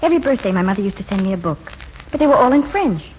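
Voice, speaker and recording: A woman acts a wistful young desert waitress in a vintage radio drama. She talks plainly and earnestly, with a longing, dreamy temper and a mid-pitched voice. The recording is dull and slightly noisy, like an old 1940s broadcast. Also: sing-song, lightly acted. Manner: plainspoken and earnest, slower when reciting verse.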